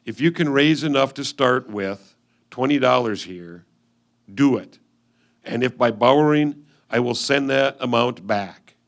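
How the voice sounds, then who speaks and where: Earnest 1850s settler husband played by a man, mid-pitched, formal and measured, stage letter reading. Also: lively intonation, lightly acted. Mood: earnest, businesslike. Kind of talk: reader's theatre letter reading.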